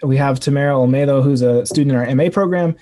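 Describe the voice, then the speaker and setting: A man from North Carolina hosts a festival chat with a warm, mellow tone. He asks long, meandering questions over a video call. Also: mid-range voice, fast talker. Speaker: festival host. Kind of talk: hosting a festival talk.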